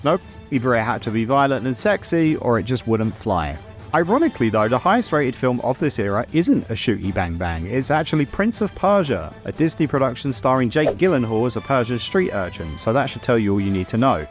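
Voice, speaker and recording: A man's voice: mid-range voice, sing-song, a little fast. Wry man narrating a video essay. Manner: wry, opinionated. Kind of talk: scripted video essay narration with casual asides. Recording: music under the voice. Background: background music.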